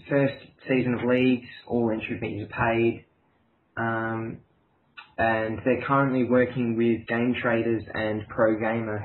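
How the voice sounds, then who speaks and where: A man giving team news in a casual, hesitant, matter-of-fact way. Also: lowish voice. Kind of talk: casual team audio log. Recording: muffled lo-fi voice chat, faint noise.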